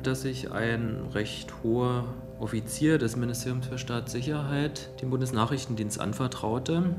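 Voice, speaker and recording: Man, medium pitch, formal interview explanation, halting, music underneath. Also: fairly even intonation.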